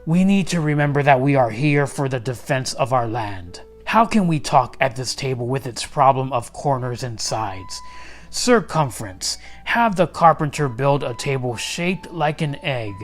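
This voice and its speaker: A man's voice: medium pitch, expressive, natural voice. Noble storybook king, played by a male reader, commanding and kindly, projected proclamations, faint music. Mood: calm, firm. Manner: proclaiming, deliberate, stately.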